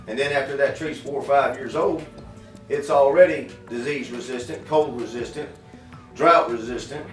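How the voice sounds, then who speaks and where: A man's voice: medium pitch, normal speed. A man from Florida, talking earnestly as an interview guest in a folksy, plain-spoken, emphatic way. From Florida, United States. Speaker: inventor and farm irrigation worker, interview guest. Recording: roomy, distant mic, faint music behind.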